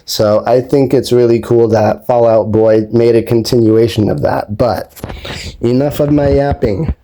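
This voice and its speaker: A man talking casually as a video host, explaining things step by step in a rambling, unhurried way. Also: mid-range voice, steady tone, dark tone, poor audio. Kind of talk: casual explanatory vlog talk. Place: indoors at home, behind the camera.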